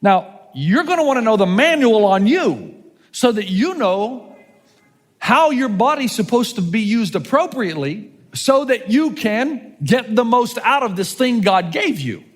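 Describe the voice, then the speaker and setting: A man in his fifties, a pastor, preaching a sermon with animated, joking delivery and rhetorical questions. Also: extremely high pitch, a little slow, in a large hall. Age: 50s.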